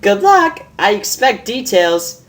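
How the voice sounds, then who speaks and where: A woman voicing an elegant young female unicorn dressmaker in a storybook reading, prim and theatrical with affected, drawn-out exclamations. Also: mid-range voice, big pitch swings, pitched above the performer's own voice. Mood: affectionate.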